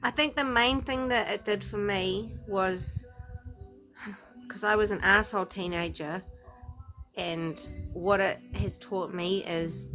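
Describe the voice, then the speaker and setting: A woman's voice: medium pitch, steady tone, slow pace, pushed and bright, faint music behind. A woman from New Zealand with a New Zealand accent, chatting loosely as a livestream guest. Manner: chatty, loose, uses filler like "dude" and "like". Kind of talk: casual live chat.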